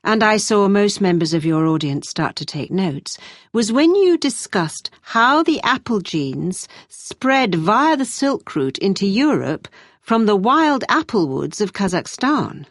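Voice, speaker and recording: A British university tutor in a scripted exam dialogue. She is brisk and exacting yet encouraging, with very animated intonation and measured, emphatic delivery, recorded dry and close. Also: mid-range voice, no echo. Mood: engaged, probing. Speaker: tutor giving presentation feedback. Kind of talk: scripted listening-test dialogue acting.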